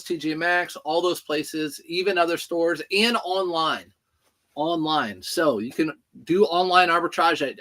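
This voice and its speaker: A man's voice: high-pitched, a bit bright. Chatty thirties man hosting a stream. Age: 30s. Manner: chatty, joking, reads out viewer comments. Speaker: reseller hosting a livestream. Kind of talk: casual livestream chat while packing orders.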